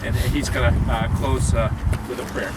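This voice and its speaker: A man's voice: mid-range voice, moderate pace. A man organizing an outdoor memorial ceremony, reading a formal proclamation aloud and later cheerfully directing people into a group photo. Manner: lively and jovial while arranging people. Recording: loud outdoor noise, traffic.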